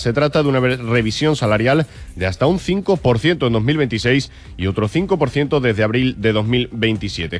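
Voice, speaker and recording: A man presenting a scripted radio news bulletin. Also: mid-range voice. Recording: music bed behind.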